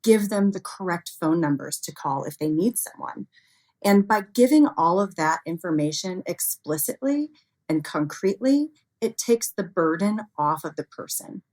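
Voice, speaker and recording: A woman with a notably low voice speaks as an expert guest in an interview. She explains ideas in a conversational way and leans on everyday examples and hypotheticals. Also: animated, moderate pace, darkish tone, poor audio. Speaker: interview guest, expert on human error in cybersecurity.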